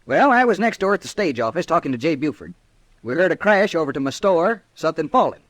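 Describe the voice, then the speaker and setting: Casting: a vindictive, blustering storekeeper, animated higher voice, old-time radio. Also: male voice, fast, dry recording. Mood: agitated recounting. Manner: blustering, insistent. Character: indignant, vindictive small-town western storekeeper.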